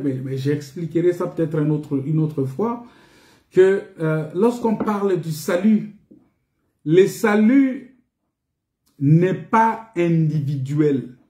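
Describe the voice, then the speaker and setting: Male preacher, high but mellow voice, didactic and repetitive, echoey indoor recording. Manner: emphatic, repetitive, didactic with rhetorical questions. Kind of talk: sermon, teaching scripture. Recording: echoey, reverberant room.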